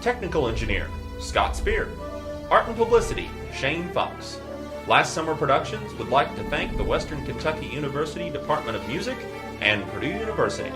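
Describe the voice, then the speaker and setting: A man announces the credits of an audio drama in a fairly high voice. His intonation swings very widely and emphatically from phrase to phrase. Music plays clearly behind him. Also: big pitch swings, fairly quick.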